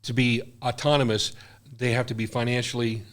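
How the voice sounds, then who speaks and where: A man's voice: medium pitch, flat delivery, brisk, a bit bright. An older man, a missionary guest, talking earnestly in casual conversation, often restarting his sentences.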